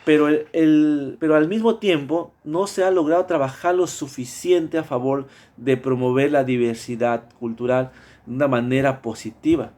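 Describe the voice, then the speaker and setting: A man's voice: fairly high pitch, normal speed, warm tone. A man from Mexico with a Mexican Spanish accent, presenting a class project in a hesitant way, with many fillers and restarts.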